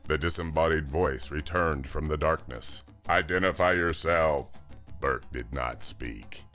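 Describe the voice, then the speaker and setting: A man narrating a science fiction thriller audiobook from a script, in a measured, dramatic way. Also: a bass voice, steady tone, a bit bright, soft background music.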